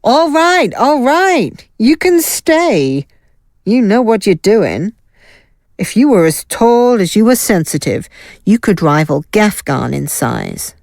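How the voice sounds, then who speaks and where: A woman's voice: medium pitch, sing-song, slow pace, a little mellow, no echo, studio quality. A woman playing an assured, wry guild fighter in a fantasy audio drama. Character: Ilaeda, confident wry female guild fighter. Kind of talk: scripted audio drama acting.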